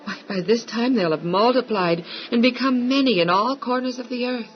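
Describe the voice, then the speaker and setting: An actress playing a practical, devoted but doubting wife of a patriarch in a biblical audio drama, questioning and cautioning. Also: female voice, fairly high pitch, moderate pace, soft background music, natural voice. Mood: doubtful. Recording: muffled lo-fi radio drama recording.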